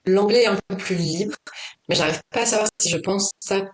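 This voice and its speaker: A woman's voice: mid-range voice, normal speed, reverberant. A woman poet chatting as a podcast guest, thinking aloud with many fillers and restarts. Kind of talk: casual conversation.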